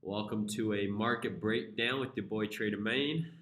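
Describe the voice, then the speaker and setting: A man's voice: on the low side, moderate pace, fairly bright tone, echoey room. A young man with a flu-congested voice hosting a casual live stream, laid-back and profane as he talks through charts.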